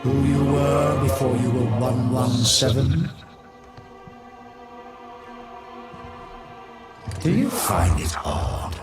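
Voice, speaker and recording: An actor playing an ancient, menacing machine guardian in a video game, taunting in grandiose, archaic and formal speech. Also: male voice, medium pitch, big pitch swings, unhurried. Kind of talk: theatrical video game villain acting. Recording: loud cinematic music and effects behind.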